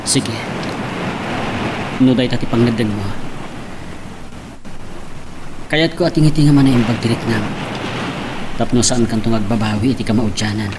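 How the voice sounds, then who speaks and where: An actor with an Ilocano Filipino accent playing a gentle, reassuring suitor in a radio love drama. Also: male voice, medium pitch, fairly even intonation, moderate pace, pauses often, background noise, lightly acted. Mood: tender. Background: music.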